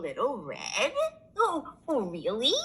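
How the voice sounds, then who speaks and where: A woman's voice: on the high side, wildly swooping pitch, slow, sharp tone, heavily acted. A woman reading a storybook, voicing a trusting, flustered old grandmother who falters and repeats her words. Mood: delighted. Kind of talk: expressive children's storybook reading.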